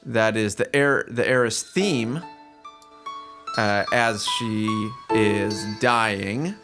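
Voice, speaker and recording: Male podcast co-host over clear music. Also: lowish voice, drawn-out words. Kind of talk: casual conversational commentary on video games.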